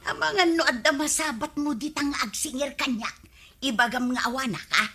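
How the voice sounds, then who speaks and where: A woman playing an excitable, scheming village debt-dodger in a comedy radio drama, with an Ilocano accent and exclamatory delivery. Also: high-pitched, normal speed, husky, faint music behind, heavily acted. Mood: annoyed scheming. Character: excitable debt-dodging village woman who hides from collectors.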